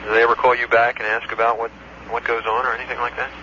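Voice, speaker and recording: A man, an air traffic control supervisor, recounting an incident in a matter-of-fact, halting way on an old taped phone call. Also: mid-range voice, monotone, bright, forceful tone. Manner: matter-of-fact, halting recall with technical detail. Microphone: telephone.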